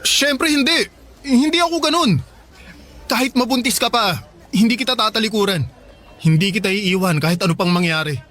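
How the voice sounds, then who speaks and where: A man playing an excitable, devoted young boyfriend in a radio drama, speaking expressively and emphatically. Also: high voice, normal speed. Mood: earnest, insistent. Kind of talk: Tagalog radio drama acting.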